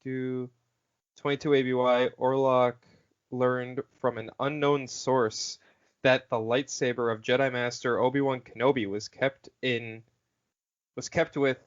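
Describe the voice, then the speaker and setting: A man's voice: medium pitch, steady tone, takes it slowly, pauses often, muffled recording. A man co-hosting a podcast, swearing in exasperation and reading entries aloud amid casual banter. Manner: profane, exasperated. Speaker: podcast co-host.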